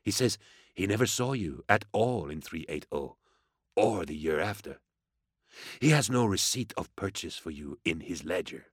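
A male narrator performs a sardonic interrogator in an audiobook story. He speaks low and measured, with a courtly amiability that carries open menace and theatrical mockery. The sound is dry and close. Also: lively intonation, slightly rough, no room sound. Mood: cool, probing. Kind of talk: audiobook character acting.

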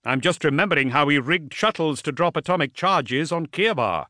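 Man playing a higher-pitched, wary military commander. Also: animated, normal speed, sharp tone, no echo, studio quality. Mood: wary, urgent. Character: wary male military commander, dutiful and suspicious.

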